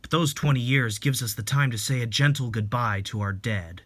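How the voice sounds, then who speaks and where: A man playing a calm, gentle young married man in a dramatic reading, soft-spoken and reflective. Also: medium pitch, moderate pace, lightly acted. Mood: wistful, explanatory.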